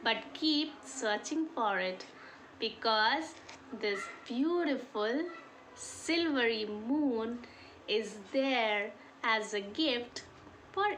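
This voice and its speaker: A woman telling a folk tale to children in an expressive way, drawing out key words and putting questions to her listeners. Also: fairly high pitch, extremely slow, a little hiss or hum. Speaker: storyteller.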